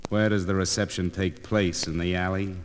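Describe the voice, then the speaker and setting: An actor playing a grim, wry newspaper reporter in 1940s radio noir style, with terse, clipped, dramatic phrasing. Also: male voice, low voice, flat delivery, moderate pace, natural voice. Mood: wry, defiant. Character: hard-boiled male newspaper reporter, grim, wry and determined. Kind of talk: 1940s radio noir acting, first-person narration. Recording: crackly, noisy 1940s radio transcription disc.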